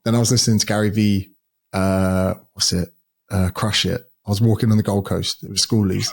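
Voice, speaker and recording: A man's voice: lowish voice, flat delivery, brisk, gravelly, darkish tone. A man speaking as a podcast guest, an educator and author who talks bluntly and swears freely in casual conversation.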